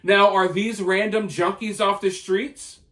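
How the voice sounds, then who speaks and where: High-pitched American man giving emphatic commentary. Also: level tone, a little slow, a bit bright. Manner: emphatic, conversational, rhetorical. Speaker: online news commentator. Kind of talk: opinion commentary to viewers.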